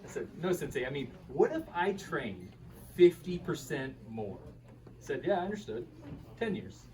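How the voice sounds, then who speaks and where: Man acting animated, higher-voiced karate student, distantly miked. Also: moderate pace. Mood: animated, bemused. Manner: animated, chuckling, quotes his teacher's dialogue. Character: bemused earnest karate student recounting his trek to his partner. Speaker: reader in a staged radio play. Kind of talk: live radio play reading, conversational storytelling. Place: live reading at a bookshop event. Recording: distant microphone with faint room noise.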